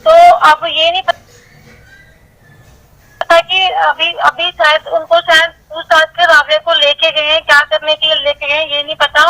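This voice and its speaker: A woman's voice: high-pitched, level tone, unhurried, pushed and bright. A woman talking informally on a recorded phone call, hesitant and repeating herself as she explains. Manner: hesitant, repetitive, evasive. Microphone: telephone.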